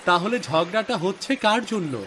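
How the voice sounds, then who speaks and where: A man's voice: high-pitched, normal speed, sharp tone, a little hiss or hum. A man playing a concerned younger village fisherman in a cartoon story, inquisitive and chiding. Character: concerned unmarried younger fisherman, teasing and helpful. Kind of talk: scripted cartoon story acting. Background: music.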